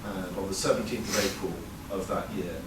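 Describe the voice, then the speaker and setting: A man's voice: sits low, steady tone, a little fast, noisy background. Man telling a wry story in a roomy live venue. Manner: conversational, wry, many fillers. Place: performance venue before an audience. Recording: roomy live sound, mic far off.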